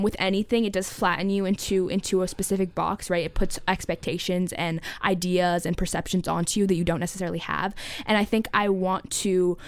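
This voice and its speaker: A woman's voice: lowish voice, clear, resonant tone. A teenage girl speaking earnestly and reflectively as an interview guest, often tagging her points with "right?". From United States. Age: teens. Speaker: youth poet laureate guest. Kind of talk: casual interview talk.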